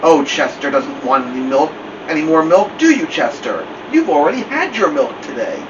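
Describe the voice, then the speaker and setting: A man voicing a brisk, reasonable, placating mother in a children's storybook. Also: a little high, sing-song. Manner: firm, placating. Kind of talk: children's storybook character reading. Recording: distant, noisy, dull room recording.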